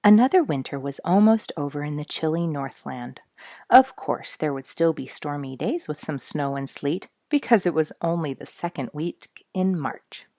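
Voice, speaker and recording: A woman's voice: low voice, animated, moderate pace, dark tone, dry recording, clean studio sound. A woman hosting a children's story reading, addressing young listeners in a friendly way as she narrates and chats. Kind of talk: read-aloud children's narration with chatty asides.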